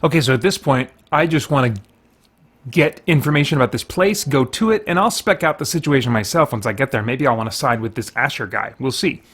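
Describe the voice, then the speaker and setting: A man's voice: medium pitch, expressive, brisk, a bit bright, breathy edge. A middle-aged man hosting a gaming show, giving casual commentary and thinking aloud in a rambling way.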